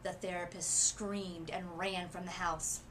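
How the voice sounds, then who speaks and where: A woman's voice: lowish voice, level tone, a bit bright. Woman reading a story aloud, background noise. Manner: deliberate, dramatic phrasing. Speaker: literacy coach reading a picture book aloud. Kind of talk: expressive children's story read-aloud. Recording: noisy room ambience.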